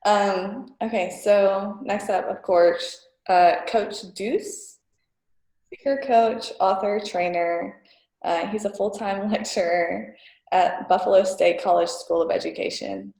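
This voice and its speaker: A woman introduces panelists on a video call, friendly and a little hesitant, with filler sounds between phrases. Her voice is dark and gravelly. The room around her rings with echo. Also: medium pitch, animated, slow. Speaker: moderator. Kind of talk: casual panel moderating.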